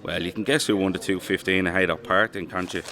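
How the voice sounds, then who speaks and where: A man's voice: low voice, steady tone, fast, pushed and bright. A man playing a surly, irritable pub drinker in a comedy radio play, curt and snapping. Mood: irritated.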